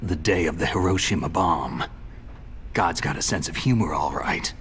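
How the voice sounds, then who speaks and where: An actor voicing a stoic, cynical middle-aged soldier in a video game, gravelly, terse and commanding. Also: male voice, low voice, moderate pace, fairly bright tone, slight background noise. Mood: grim, probing. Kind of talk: dramatic video game voice acting. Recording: background music under dialogue.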